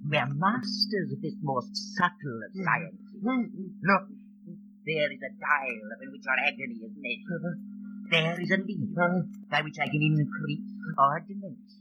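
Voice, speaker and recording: An actor playing a sinister, calm Party inquisitor in an old-time radio drama, speaking in a deliberate, lecturing and menacing way. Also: male voice, on the high side, dull audio, natural voice. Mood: coldly lecturing. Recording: band-limited old radio recording with music.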